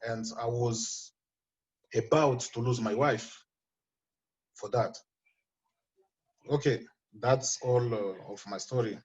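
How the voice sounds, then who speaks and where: A man from Africa, speaking halting non-native English with restarts, reads out his personal account of detention on a video call. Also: mid-range voice, darkish tone, slightly rough, highs cut off. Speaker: panelist sharing detention story.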